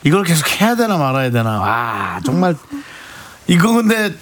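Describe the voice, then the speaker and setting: A man's voice: on the high side, very animated, moderate pace, a bit bright. A man co-hosting a casual radio chat, telling stories and repeating a playful gag. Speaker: radio co-host.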